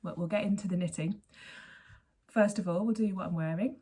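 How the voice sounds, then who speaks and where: A woman in her forties with a hoarse voice, hosting her knitting podcast in a chatty, rambling way and often correcting herself mid-sentence. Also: medium pitch, brisk, mellow. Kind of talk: casual chat to camera.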